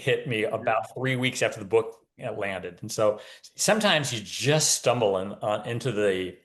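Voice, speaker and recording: Male author guest, voice on the low side, relaxed interview storytelling with a wry touch.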